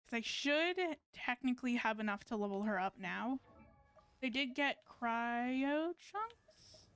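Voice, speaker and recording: A woman chatting casually on a live gaming stream, haltingly thinking aloud as she plays. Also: a little high, expressive, a bit bright, clear, resonant tone. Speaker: game streamer.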